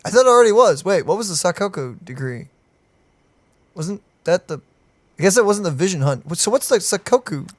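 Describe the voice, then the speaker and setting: A man co-hosting a game reaction video, excitable, with quick questions and exclamations. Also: sits high, no room sound. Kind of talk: casual reaction chat.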